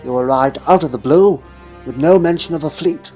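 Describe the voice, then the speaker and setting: A man acts out an eccentric sci-fi hero with theatrical boldness. He calls out loudly and declaims at his foes in a raised voice. Music plays clearly behind him. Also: a little high, normal speed, voice pushed up, lightly acted. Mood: challenging. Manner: loud, declamatory calling out. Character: bold, theatrical male eccentric hero taunting his enemies. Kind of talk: fan sci-fi audio story.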